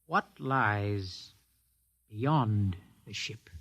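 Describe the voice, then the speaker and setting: A man's voice: fairly low pitch, lively intonation, extremely slow, fairly bright tone, breathy edge, full character voice. An actor playing a defiant, impetuous young man with dramatic, blunt delivery in a 1950s science fiction radio play. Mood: earnest questioning. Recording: noisy old 1950s radio recording.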